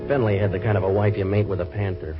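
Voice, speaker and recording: An actor playing a wisecracking, hard-boiled male detective in a 1940s radio drama, narrating and trading quips in a deadpan way. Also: on the low side, fast, dull audio, loud music behind the voice, close to an everyday voice.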